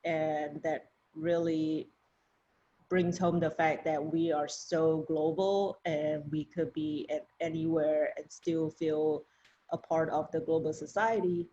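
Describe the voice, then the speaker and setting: A woman from Malaysia speaks as a podcast guest, recounting her path in a measured, conversational way. Her voice sits on the lower side, and the remote call audio comes through dull and lo-fi. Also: level tone, dark tone. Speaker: university program director. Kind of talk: podcast interview.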